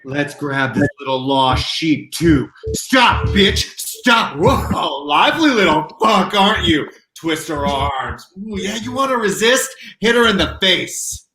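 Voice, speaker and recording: A man's voice: on the high side, very animated, slow, few pauses, reverberant, a crowd in the background, rough recording. An actor playing a brutal, jeering male riot policeman who taunts and swears. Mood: taunting. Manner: crude, taunting.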